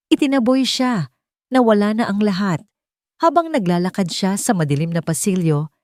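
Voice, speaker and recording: A woman's voice: mid-range voice, darkish tone, no echo, studio quality. A woman narrating a scripted romantic melodrama in a dramatic storytelling style.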